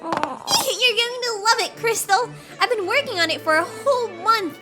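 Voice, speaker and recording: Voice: very high voice, animated, moderate pace. A performer voicing a childish, eager little pony princess in a cartoon drama, with a whiny edge. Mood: eager, excited. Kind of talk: animated fan drama voice acting. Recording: music behind the voice.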